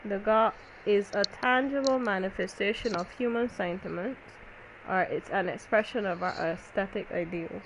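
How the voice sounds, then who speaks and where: Female gameplay commentator, colloquial and blunt, medium pitch, noisy home recording. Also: moderate pace. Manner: blunt, exclamatory reactions in nonstandard colloquial English. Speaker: gamer reacting to a story game.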